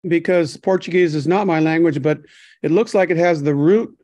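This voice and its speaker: Halting older man on a dry video call. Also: on the high side, dark tone, no room sound. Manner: rambling, halting, many fillers. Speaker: podcast co-host. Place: home kitchen. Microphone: webcam on a video call.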